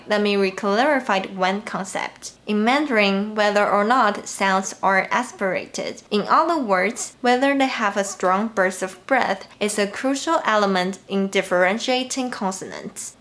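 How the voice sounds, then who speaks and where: A woman from Taiwan with a Taiwanese accent, giving a clearly explained, scripted lesson on pronunciation and slowing down to model example sounds. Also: mid-range voice, a bit bright. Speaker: Mandarin teacher.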